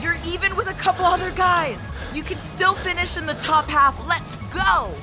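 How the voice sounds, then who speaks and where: An actress playing a firm, encouraging young female rowing coxswain in an audio drama, giving calls in a measured, commanding way. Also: very high voice. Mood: urging. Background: music.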